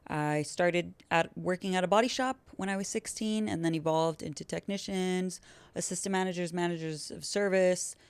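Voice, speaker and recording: A woman's voice: on the low side, moderate pace, clean tone, no room sound. A woman in her twenties hosting her own podcast, chatting casually and upbeat to her listeners. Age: 20s. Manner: chatty, upbeat, self-described yapper. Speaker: podcast host. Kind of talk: casual solo podcast intro.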